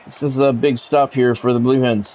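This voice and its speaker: A man's voice: medium pitch, flat delivery, normal speed, slight background noise. Dull-sounding man calling football play-by-play. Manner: radio-announcer phrasing with casual asides. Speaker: streamer doing play-by-play. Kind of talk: sports play-by-play commentary. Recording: dull, lo-fi audio with music behind.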